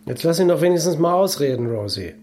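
A man acting an excitable, indecisive husband with a mocking streak in a German radio drama. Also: fairly high pitch, fast, a little hiss or hum, natural voice. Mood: agitated, mocking.

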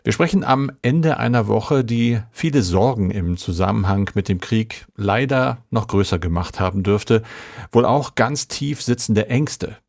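A man's voice: on the low side, a little slow, gravelly, darkish tone. A man hosting a podcast, reading scripted moderation. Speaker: podcast host.

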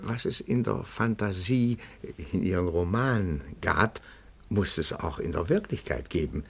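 Elderly amateur sleuth, very low gravelly voice, obsequious, pedantic radio drama delivery. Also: male voice, animated, measured pace, heavily acted. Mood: earnest, explaining. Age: older. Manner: deferential, fussy, long-winded, formal. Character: meek, pedantic old bookkeeper turned private detective, reverent admirer. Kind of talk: radio play acting.

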